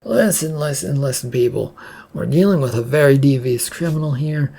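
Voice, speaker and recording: Gravelly, bossy male trooper acted by a narrator. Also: medium pitch, normal speed, dark tone. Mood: loud, exasperated. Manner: commanding, announcing to crowds. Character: bossy, exasperated male state trooper taking charge.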